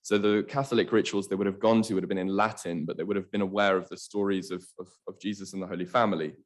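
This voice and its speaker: A man whose first language is Estonian, giving an online academic lecture in a halting, hesitant way over a video call. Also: low voice, level tone.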